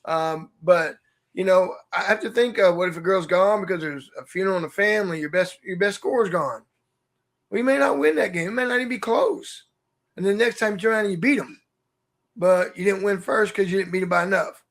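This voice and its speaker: A man who coaches high school basketball, talking through rules in a thoughtful, searching way on a casual podcast. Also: brisk, creaky, fairly bright tone. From Oklahoma. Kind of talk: casual sports chat.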